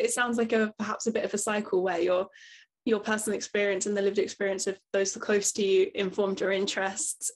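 A woman hosting a conversational interview, encouraging, with tentative phrasing as she leads into questions. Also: mid-range voice. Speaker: interviewer, host.

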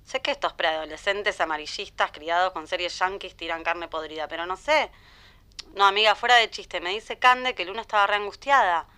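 An actress with an Argentine accent playing a brisk, gossipy middle-aged mom friend in an audio drama. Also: female voice, on the low side, bright, forceful tone, clear, resonant tone. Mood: gossipy, probing.

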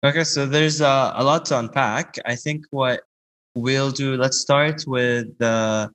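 A man hosting a conversational podcast interview, speaking in a somewhat halting, hesitant way. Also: mid-range voice, fairly even intonation, fairly bright tone, no echo. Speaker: podcast host.

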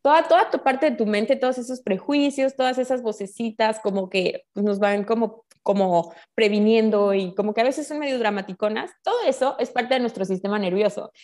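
A woman with a Mexican accent explains ideas in a casual, expressive, conversational way full of colloquial slang. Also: fairly high pitch, a little fast, hardly any pauses. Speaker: guest explaining spiritual ideas.

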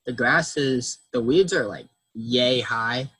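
A man in casual conversation, talking in a halting, plain way, who has trouble with his R sounds. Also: medium pitch, fairly bright tone, husky, no echo. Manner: halting, repeats words, plain. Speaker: farm volunteer. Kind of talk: casual chat.

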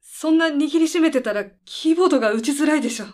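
Radio drama role, played by a woman: strict female service manager, higher-pitched, polite and firm, patience wearing thin. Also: fast, darkish tone, lightly acted. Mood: tense, subdued. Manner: formal polite speech, firm, businesslike. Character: composed female head of a deadline-management service, losing patience. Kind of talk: radio drama dialogue acting.

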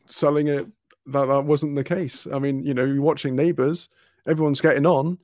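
A man's voice: on the high side, flat delivery, normal speed, darkish tone, no room sound, studio quality. A man from Wales, telling rambling anecdotes in a casual chat, often restarting and laughing.

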